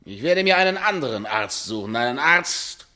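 Sardonic, haughty gentleman, swooping intonation. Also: mid-range voice, big pitch swings. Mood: cold. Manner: sardonic, condescending, deliberate pauses. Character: haughty, suspicious rich English husband, a titled gentleman. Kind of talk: German radio crime drama acting.

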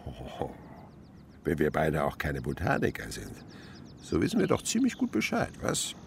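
A man's voice: rumbling low, wildly swooping pitch, moderate pace, gravelly, slight background noise, heavily acted. An older male actor playing an enthusiastic, teasing naturalist uncle in a German radio play, fond and instructive in a theatrical way.

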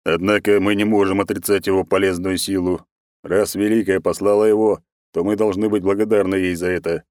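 Man voicing a low-voiced weary commander. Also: flat delivery, a little fast, darkish tone, dry recording, studio quality, close to an everyday voice. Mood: measured. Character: measured, weary veteran military commander.

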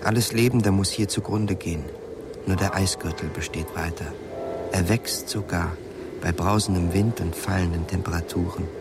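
A man narrating a literary radio drama in a measured, grave, deliberate manner. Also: sits low, fairly even intonation, loud music behind the voice.

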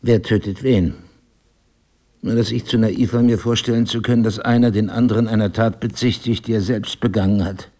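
An actor playing a sardonic middle-aged husband, a writer, in a German radio drama, probing with deliberate irony. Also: male voice, fairly low pitch, steady tone, dark tone, lightly acted.

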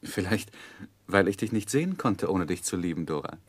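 An actor playing an earnest, tender gentleman who narrates his life in a gentle, reflective, expressive way in a 1960s German radio play. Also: deep voice, moderate pace, slightly rough, dry recording. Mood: playful, loving. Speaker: first-person narrator and lead.

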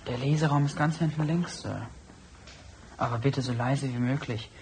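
Radio play bit part: man as discreet club page, medium pitch, hushed and courteous, faint ambience behind. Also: moderate pace, a little hiss or hum, close to an everyday voice. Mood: hushed, deferential. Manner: hushed, polite, servile. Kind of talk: radio drama dialogue.

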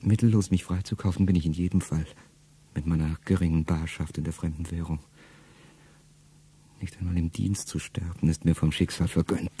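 Middle-aged male narrator for a radio play, very dark, husky voice, low and intimate, often whispering. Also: fairly even intonation, normal speed. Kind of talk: first-person literary monologue in a radio play.

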